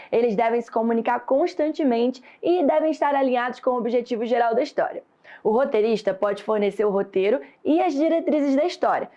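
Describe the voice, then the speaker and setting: Higher-pitched Brazilian woman teaching. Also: mellow, highs cut off. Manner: clear, didactic. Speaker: instructor. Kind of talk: scripted lesson narration.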